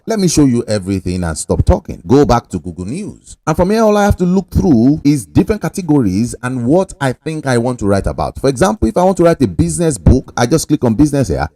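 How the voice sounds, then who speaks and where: Animated man with a mellow dry voice. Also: on the high side, no echo. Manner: animated, talks to the viewer directly, pushy salesman-like emphasis. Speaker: online money-making tutorial host. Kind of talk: casual screen-recording tutorial.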